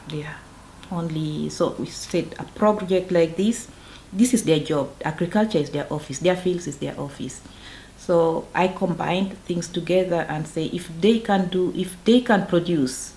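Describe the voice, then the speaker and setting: A woman from northeastern Uganda, speaking, who founded a farming project and talks in an earnest, measured way in a documentary interview. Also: fairly low pitch, a little mellow. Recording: echoey room, faint background noise.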